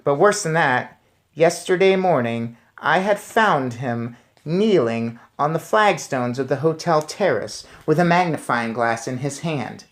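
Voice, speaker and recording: A man's voice: on the high side, animated, measured pace. A man reading a story aloud and commenting on it as narrator. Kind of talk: read-aloud narration with casual recap.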